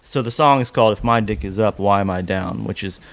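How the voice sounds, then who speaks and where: A man's voice: fairly low pitch, brisk, creaky. A man chatting casually as a podcast guest, a country musician who rambles and swears freely. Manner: rambling, profane. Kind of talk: casual interview chat.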